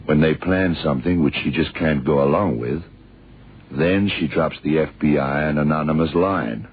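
A world-weary detective with a rumbling, gravelly bass voice. He muses deliberately in terse slang, shrewd and unhurried. It sounds like a tinny, muffled radio thriller from decades ago. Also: heavily acted. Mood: musing, deducing. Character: shrewd, world-weary male American detective thinking aloud. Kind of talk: 1960s-70s radio drama acting.

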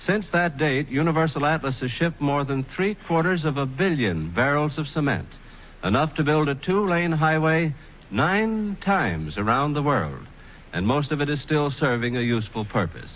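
Expressive man narrating on old-time radio. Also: on the high side, moderate pace. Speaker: sponsor's radio spokesman. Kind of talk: 1940s radio scripted narration. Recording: muffled, noisy 1940s radio broadcast.

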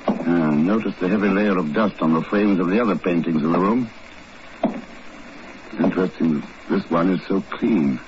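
A noisy, muffled 1950s radio drama recording carries a man acting a shrewd secret agent. He is world-weary yet coolly in command, speaking in a deliberate, hard-boiled way at a middling pitch. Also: natural voice.

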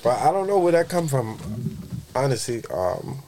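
A man in his thirties from the Bronx with a raspy voice, telling a story as a podcast guest in loose street slang. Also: mid-range voice, sing-song, moderate pace, dark tone, a little hiss or hum. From the Bronx, New York. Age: 30s. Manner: loose street slang, piles up vivid details. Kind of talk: casual storytelling chat.

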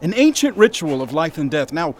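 An actor playing a gloomy, haughty young writer with ornate speech in a radio drama. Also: male voice, on the high side, very animated, a little fast, a little mellow, a little hiss or hum. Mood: scornful. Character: grumpy, pompous young male writer. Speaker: struggling author.

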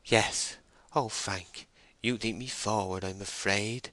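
A man's voice: fairly low pitch, fairly even intonation, takes it slowly, sharp tone, no echo. A man voicing a timid, lovesick young woman in a storybook reading, tremulous, hesitant and pleading. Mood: tearful, pleading.

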